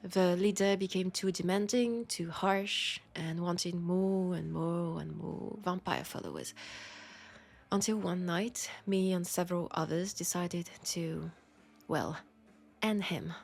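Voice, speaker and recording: Breathy French-accented vampire woman, played by an actress. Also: fairly low pitch, fairly even intonation, exaggerated voice. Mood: wry reminiscence. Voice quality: soft, breathy, often dropping to a whisper. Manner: unhurried, confiding, pausing between phrases. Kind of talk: intimate roleplay audio drama monologue.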